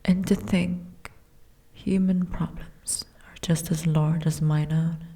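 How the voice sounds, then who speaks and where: A woman playing a gentle, refined, weary giantess princess in a fantasy ASMR roleplay, with soft, genteel, old-fashioned diction. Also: sits low, fairly even intonation, takes it slowly. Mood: drowsy, hushed.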